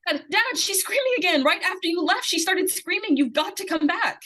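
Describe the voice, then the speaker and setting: High, bright, pleading little girl played by a woman. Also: expressive, fairly quick, full character voice. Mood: urgent, pleading. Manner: urgent, pleading, repetitive. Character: desperate, insistent young girl pleading with her father. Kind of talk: 1940s radio suspense acting.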